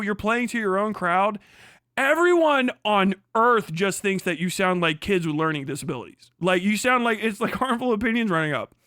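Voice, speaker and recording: High, sharp-voiced ranting man. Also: dry recording, clean studio sound. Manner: heated, profane, ranting with frequent laughs. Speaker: streamer host. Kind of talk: profane live-stream reaction rant.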